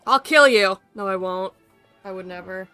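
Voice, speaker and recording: A woman's voice: medium pitch, normal speed, bright, forceful tone, clean tone. A woman livestreaming a game, chatting in a casual, rambling way with playful teasing and some swearing. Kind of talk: casual gaming stream chat. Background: music in the background.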